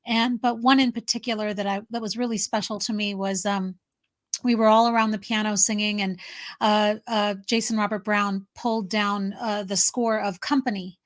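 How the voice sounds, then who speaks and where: A woman's voice: mid-range voice, steady tone, moderate pace. A woman chatting casually as a co-host, telling personal stories in an emphatic way. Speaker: talk show co-host. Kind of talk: casual anecdotal chat.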